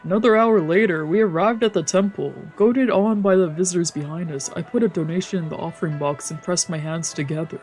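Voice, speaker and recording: A woman's voice: lowish voice, a little fast, few pauses, a little mellow, soft background music. Woman reading a visual novel aloud. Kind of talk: let's play, narrating and voicing translated lines.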